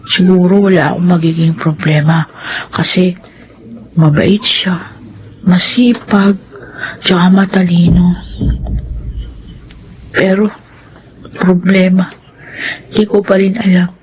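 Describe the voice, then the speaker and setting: An actress in a Filipino radio drama playing a worried, lovestruck younger sister, speaking hesitantly and pensively. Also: female voice, mid-range voice, a little mellow, lightly acted. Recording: dull radio sound, faint noise.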